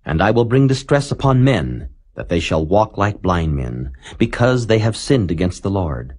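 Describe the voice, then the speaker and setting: Very deep-voiced man reading scripture. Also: very animated, normal speed, a little mellow. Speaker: Bible narrator.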